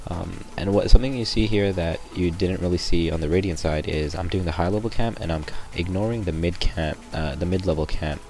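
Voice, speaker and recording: A young man with a raspy voice, sick, gives a rambling gameplay walkthrough in a very low register. Loud music plays behind his voice-over. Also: fairly quick, few pauses. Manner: rambling, many ums and restarts. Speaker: gameplay commentator. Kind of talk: casual gameplay tutorial voice-over.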